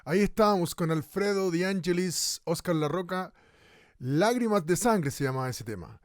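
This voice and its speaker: A man playing a lively radio show host. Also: sits high, normal speed, dry recording, clean studio sound. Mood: announcing. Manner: announcer-like, introducing segments. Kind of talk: radio drama acting.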